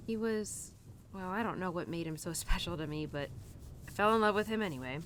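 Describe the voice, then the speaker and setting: A woman as a rambling, lowish-voiced young cowgirl. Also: brisk, fairly bright tone, a little hiss or hum, lightly acted. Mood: nostalgic, amused. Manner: rambling, hesitant, giggly, with stammers and restarts. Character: earnest, rambling young cowgirl confiding in her horse. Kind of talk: intimate roleplay audio, spoken to the listener.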